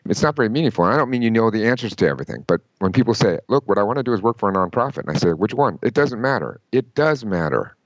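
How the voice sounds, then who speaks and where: A man giving career advice in an interview, emphatic and lively, often quoting people in mock voices. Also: lowish voice, racing, few pauses, no echo, highs cut off. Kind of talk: conversational interview.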